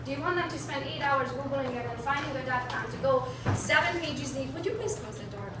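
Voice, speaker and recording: A woman from Romania, English not her first language, leading a live sales training in a meeting room with a lively, question-asking manner. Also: sits high, very noisy. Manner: energetic, asks the audience questions. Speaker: real estate sales trainer. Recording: echoey room, speaker far from mic.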